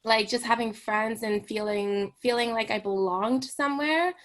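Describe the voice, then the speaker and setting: A woman's voice: on the high side, moderate pace, a bit bright. A young woman from Hong Kong, a recreation therapist and guest on a radio interview, talking casually with many fillers and "right?" tags.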